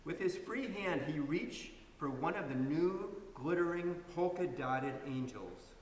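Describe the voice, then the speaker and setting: Higher-voiced man narrating, distant and echoey. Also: expressive, a little slow. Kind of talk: dramatic story reading. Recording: echoey hall, distant mic, hiss.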